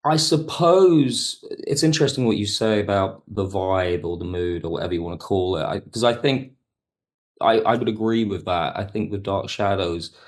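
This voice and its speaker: A man's voice: on the low side, normal speed. A man speaking as an interview guest, reflective and analytical, carefully qualifying his points as he explains. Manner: thoughtful, analytical, hedging. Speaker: podcast guest, audio drama writer.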